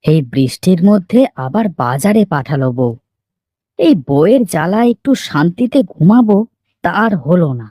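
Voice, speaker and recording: A grumbling, henpecked village husband in a cartoon, acted by a woman with a low voice. The delivery is complaining and very animated, recorded close and dry. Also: moderate pace, no room sound. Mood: exasperated. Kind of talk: cartoon story dialogue.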